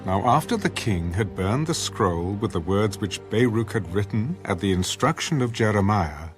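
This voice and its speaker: A man with a lowish voice narrates scripture formally, in measured phrases. His intonation is lively and expressive, and music plays clearly behind the scripted reading.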